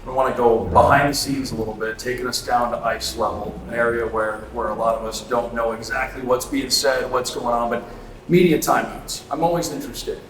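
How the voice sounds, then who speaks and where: A man's voice: mid-range voice, steady tone, fairly quick, fairly bright tone, soft background music. A man working as a sports interviewer, asking questions in a conversational sit-down interview. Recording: echoey room with faint ambient noise.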